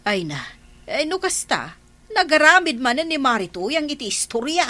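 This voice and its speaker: A woman's voice: sits high, sing-song, moderate pace, bright, forceful tone, full character voice. A woman playing a gossipy villager passing on hearsay in an Ilocano comedy radio drama, chatty and exclamatory, with an Ilocano accent. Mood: surprised, curious.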